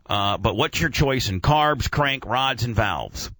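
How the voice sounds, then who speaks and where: Man, radio host, casual slangy banter, opinionated, mid pitch, dry studio sound. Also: animated, slow pace, a bit bright, no room sound. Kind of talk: casual radio banter about auto racing.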